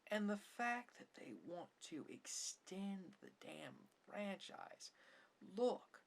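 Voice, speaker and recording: A soft-spoken ASMR creator giving rambling, opinionated, mildly sarcastic film commentary, at times dropping to a whisper. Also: mid-range voice, lively intonation, takes it slowly, creaky.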